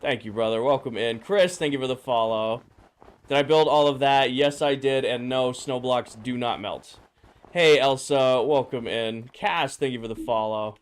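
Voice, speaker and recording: Hoarse, drowsy male streamer. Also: mid-range voice, normal speed. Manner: laid-back, greets viewers and answers chat questions. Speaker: game streamer. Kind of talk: casual live-stream chat while playing.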